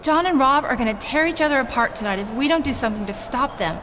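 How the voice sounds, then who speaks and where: A high-pitched young woman as a wrestling manager in a video game, exasperated and earnest, quick to plead and quick to give orders. Heavy noise sits behind her voice. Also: animated. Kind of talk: video game cutscene acting.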